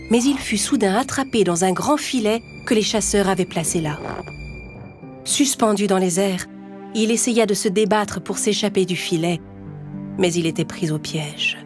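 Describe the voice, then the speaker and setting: A woman narrates an animated fable for children. Her pitch is a little low for a woman, and she tells it as a scripted story. Music plays clearly under the voice.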